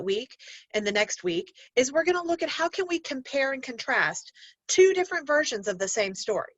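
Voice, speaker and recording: Female primary teacher for storybook read-aloud, lively swooping delivery, instructive, on a dull, dry lo-fi recording. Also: sits high, no echo. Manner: expressive, instructive, addressing children directly. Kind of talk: read-aloud lesson to young learners, storybook narration.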